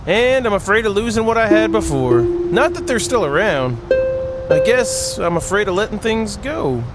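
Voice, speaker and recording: A man's voice: high voice, sing-song, normal speed, loud music behind the voice. A man voicing a lonely, nostalgic dweller of a rundown house in a visual novel, read in a hesitant, reflective way. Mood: wistful, hesitant.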